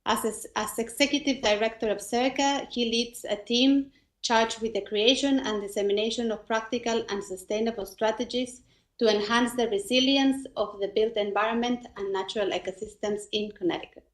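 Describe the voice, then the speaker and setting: Female seminar host, formal read-out introduction, careful stumbling delivery, somewhat high pitch, strong room echo. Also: steady tone, normal speed. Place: indoor room, online seminar. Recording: echoey room on video call.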